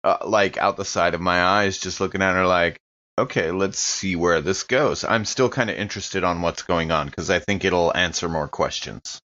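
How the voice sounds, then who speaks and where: The audio is a little muffled. A man with a low voice talks casually as a player in a tabletop role-playing game, thinking aloud about his character. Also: moderate pace, pushed and bright. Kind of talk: casual chat.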